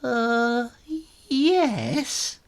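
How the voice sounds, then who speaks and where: A man's voice: very high-pitched, very animated, very slow, voice pushed up, full character voice. A man voicing small, eager kittens at school in a children's storybook, with childlike chirping. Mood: nervous.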